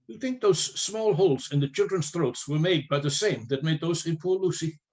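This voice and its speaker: An actor playing a learned, mysterious professor in a radio play, speaking formally and deliberately with rhetorical questions. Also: male voice, on the high side, darkish tone, natural voice. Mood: solemn, building. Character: grave male professor, learned, mysterious, solemnly persuasive.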